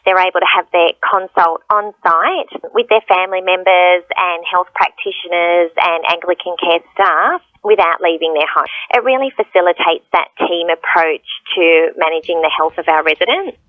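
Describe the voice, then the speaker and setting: A woman's voice: fairly low pitch, normal speed, few pauses. A woman giving an interview comment as a spokesperson. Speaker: marketing manager interviewed. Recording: tinny narrow phone line.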